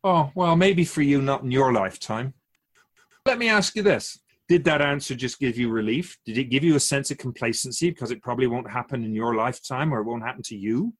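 A man playing an otherworldly, patient keeper of souls in a radio drama, asking measured, probing questions in a husky voice. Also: mid-range voice, natural voice. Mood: calmly instructive.

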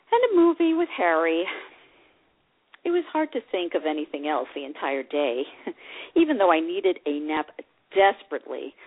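Woman acting older divorced lady fondly telling her love story, lowish voice, really animated. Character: warm-hearted older divorced woman fondly remembering a rekindled romance. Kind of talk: dramatic memoir reading, first-person narration. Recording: dull, lo-fi radio-like sound.

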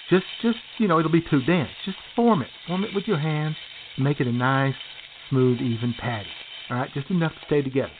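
A man's voice: a little high, narrow, tinny audio, a little hiss or hum. A man presenting a chatty how-to radio segment in an emphatic, bragging, instructive manner.

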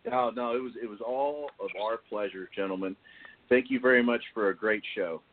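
A man hosting a podcast discussion, speaking haltingly and often repeating his words. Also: mid-range voice. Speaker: podcast host. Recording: tinny phone-like call audio.

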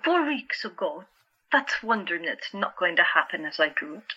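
An actress playing a troubled, earnest, gentle female asylum patient in an audio drama, hesitant and confiding. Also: lowish voice, big pitch swings, moderate pace, breathy edge, dull audio, heavily acted. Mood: uneasy.

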